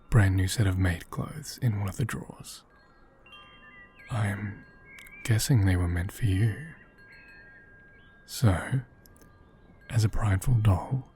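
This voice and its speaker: A man performs a tender, caring male caretaker in an ASMR roleplay, soothing a fragile doll. His voice is low and mellow, soft and often whispered. He speaks very slowly, with careful, reassuring hesitation. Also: slight background noise.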